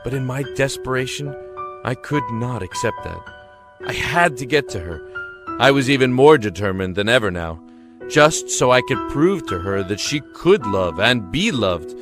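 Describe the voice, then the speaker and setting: Guy playing a desperate young prisoner in love, pleading audio drama voice, pretty lively pitch, echoey with music behind. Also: moderate pace, lightly acted. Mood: grave, determined. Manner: earnest, pleading, stammering. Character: lovesick young male prisoner, stubborn, playful and desperate. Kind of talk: audio drama acting with reflective narration. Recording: roomy echo with music underneath.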